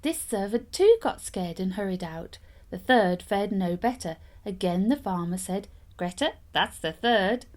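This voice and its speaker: A woman reading a fairytale aloud as an expressive storyteller. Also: mid-range voice, unhurried.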